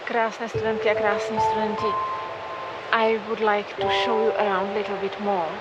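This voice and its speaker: A woman with a Czech accent, a Czech native, slowly and thoughtfully explaining idioms as a language teacher, filmed outdoors on a tripod camera in the cold. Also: medium pitch, level tone. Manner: slow, thoughtful, unhurried. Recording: outdoor take with loud stream noise.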